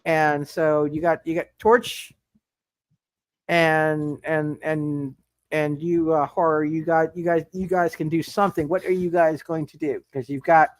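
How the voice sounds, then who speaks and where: Guy running a superhero tabletop game, kinda high voice, stumbles and repeats words a lot, dry sound. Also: flat delivery, no echo. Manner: halting, stammering, repeats words. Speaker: game master. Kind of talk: improvised narration of a live tabletop superhero game.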